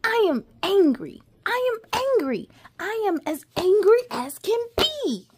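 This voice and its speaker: A woman voicing a little girl with big, changing feelings in a children's storybook, slow and expressive. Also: very high voice, voice pushed up, full character voice. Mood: angry. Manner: slow, exaggerated, expressive delivery. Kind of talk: children's storybook read-aloud, acted out.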